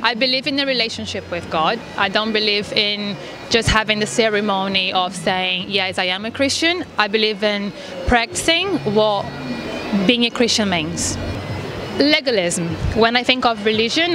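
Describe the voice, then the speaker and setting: Woman from brazil, earnest and careful, slightly high voice, music playing behind. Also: normal speed. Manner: earnest, explains her view carefully. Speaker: passer-by interviewee. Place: outdoors on a city street.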